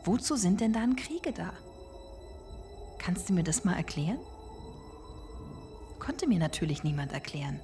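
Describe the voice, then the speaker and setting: A woman's voice: on the low side, big pitch swings, a little slow, long pauses, music in the background. An actress playing a woman in her forties who wryly recalls an anxious childhood in a radio play. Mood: rueful, confiding.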